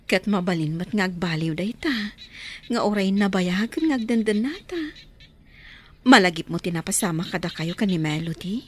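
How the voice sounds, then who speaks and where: A woman's voice: mid-range voice, animated, normal speed, husky. A woman playing a loving, cautious, forgiving middle-aged wife in a radio drama, with an Ilocano Filipino accent. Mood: worried.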